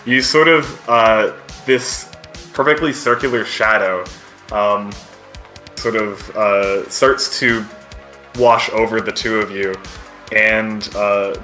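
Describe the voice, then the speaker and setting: A man improvising descriptive, halting narration as game master of a tabletop roleplaying game. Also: on the low side, bright, forceful tone.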